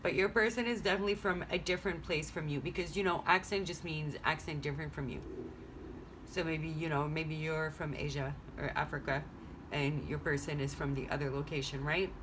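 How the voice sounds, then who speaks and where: Chatty low-voiced woman reading cards. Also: steady tone, a little fast, background noise. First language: French. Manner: chatty, rambling, thinking aloud. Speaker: tarot reader. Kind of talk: casual card-reading vlog. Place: home room.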